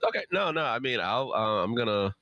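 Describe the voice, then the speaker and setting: A man's voice: mid-range voice, big pitch swings, a little fast, highs cut off, a crowd in the background. A man speaking as an author guesting on a live stream, chatting casually and candidly, with emphatic repetition. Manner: candid, emphatic, repeats himself. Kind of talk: casual chat.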